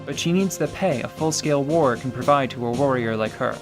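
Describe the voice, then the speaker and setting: A man narrating a scripted tabletop radio drama in a measured, wry way, over a music bed. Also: mid-range voice.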